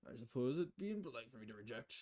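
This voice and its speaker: A woman's voice: extremely low pitch, big pitch swings, very fast, warm tone, voice pushed down. A woman voicing a polite, modest male bar patron in a visual novel, courteous and reserved. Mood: polite, reluctant.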